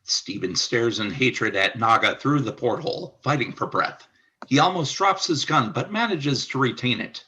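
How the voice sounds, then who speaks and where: A man's voice: mid-range voice, expressive, normal speed, bright, forceful tone, a little hiss or hum, rough recording. A man reading scripted narration for a radio play as its narrator.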